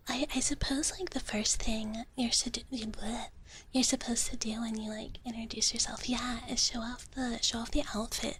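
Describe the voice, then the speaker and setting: A woman's voice: a little high, a little hiss or hum, close to an everyday voice. Woman as a cute whispering dragon girl. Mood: shy, sweet. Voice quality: soft, breathy whisper. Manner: sweet, hesitant, bubbly, speaks of herself in third person. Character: cute, playful young female wish dragon big sister. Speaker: livestream host. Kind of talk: live ASMR roleplay, whispered chat to viewers. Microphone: close ASMR microphone.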